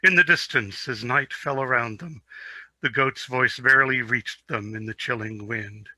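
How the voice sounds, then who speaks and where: Bright, theatrical man reading a story. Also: medium pitch, normal speed, no room sound. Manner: theatrical, expressive. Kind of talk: dramatic reading of a fantasy story.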